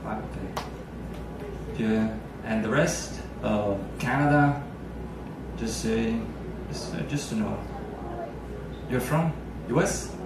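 A man's voice: mid-range voice, slow. Italian guy in his thirties chatting up a cruise crowd, handheld mic, audience murmur behind. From Naples, Italy. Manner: joking, chatty, quizzes the crowd. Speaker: pianist entertainer. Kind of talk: playful stage banter with audience. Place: cruise ship lounge stage, large room. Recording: echoey lounge from far off, audience noise.

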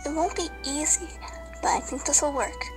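A woman playing a loving mother cookie in a children's radio play, soft, earnest and encouraging. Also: high-pitched, moderate pace, music in the background, lightly acted.

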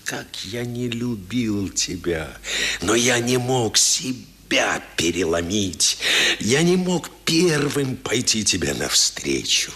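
A man's voice: fairly low pitch, sing-song, takes it slowly, a bit bright, exaggerated voice. An actor playing a stern, proud old grandfather with theatrical, emphatic phrasing in a 1970s radio drama. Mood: tender, remorseful. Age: older.

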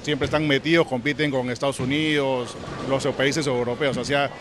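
A man's voice: mid-range voice, fairly even intonation, normal speed, over music. A middle-aged man with a Peruvian accent, a veteran athlete speaking casually in an interview. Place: large indoor bowling alley. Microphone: handheld interview microphone. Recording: faint bowling alley noise.

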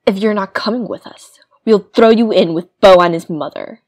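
A woman's voice: medium pitch, moderate pace, darkish tone, lightly acted. A woman acting a scheming, vindictive young villainess in an audio drama, smug and commanding. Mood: commanding, menacing. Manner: commanding, coolly menacing.

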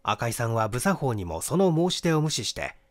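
A middle-aged man narrates literary mystery fiction. His medium-pitched voice moves up and down in a lively way, giving the reading a dramatic, expressive shape. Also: brisk. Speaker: audiobook narrator, stage actor.

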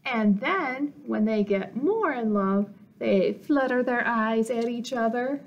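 Woman as a slow, know-it-all storybook bunny. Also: a little high, animated, highs cut off, heavily acted. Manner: explanatory, emphatic. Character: know-it-all picture-book bunny explaining love.

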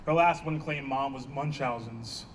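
A man's voice: medium pitch, a little slow, natural voice. A man in a staged play reading, playing a dry, exasperated therapist dealing with an old friend. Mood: dry, weary. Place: outdoor stage. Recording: distant outdoor stage pickup, background noise.

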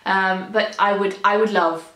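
A young woman talks casually and animatedly, like a co-host discussing books. Her voice sits at a middling pitch. The sound is noticeably echoey, recorded in a room. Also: steady tone, unhurried, background noise. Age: young adult. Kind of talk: casual book chat. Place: small indoor room with bookshelves.

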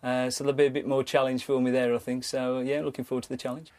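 A man's voice: mid-range voice, flat delivery, fast, a little mellow. A middle-aged man, a teacher, answering plainly and a little hesitantly in a cramped home office. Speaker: teacher moving house.

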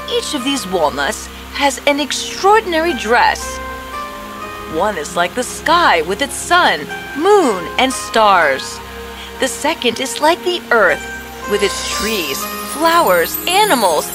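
A woman voicing a gentle, confident young princess in a fairy-tale cartoon, expressive and coaxing as she speaks. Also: fairly high pitch, slow, full character voice. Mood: gentle, instructing. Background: prominent background music.

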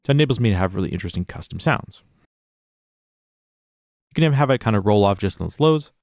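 Low-voiced man giving a tutorial, dry sound. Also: animated, quick pace, a little mellow, dry recording. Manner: informal, explanatory. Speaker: software tutorial instructor. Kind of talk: casual step-by-step tutorial narration.

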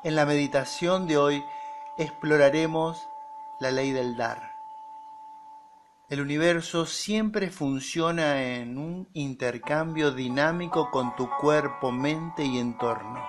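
A man leading a guided meditation, speaking deliberately in short phrases with pauses between them. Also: a little high. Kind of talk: slow guided meditation narration. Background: music behind the voice.

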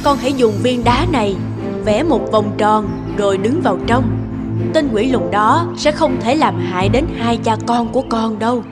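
A woman voicing a kindly old fairy who guards the forest in an animated fairy tale. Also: fairly high pitch, normal speed, big-room sound, close to an everyday voice. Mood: reassuring. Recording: music behind the voice.

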